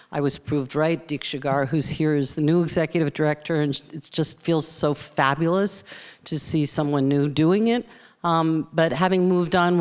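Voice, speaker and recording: A woman's voice: low voice, darkish tone, smooth. Older woman in a reverberant hall. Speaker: panelist. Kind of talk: conference panel introduction. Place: large conference hall.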